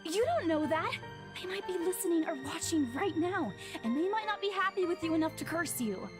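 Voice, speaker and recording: A woman voicing an earnest, superstitious young real estate agent in a game, expressive and cautious. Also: very high voice, brisk, loud music behind the voice. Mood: ominous, worried. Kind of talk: visual novel game voice acting.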